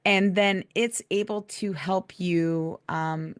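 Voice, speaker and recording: Woman, podcast host, hoarse, raspy voice, chatty, laughs often, dry close sound. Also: fairly low pitch, fairly even intonation, slow pace, no room sound. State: hoarse from laryngitis. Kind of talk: casual chat.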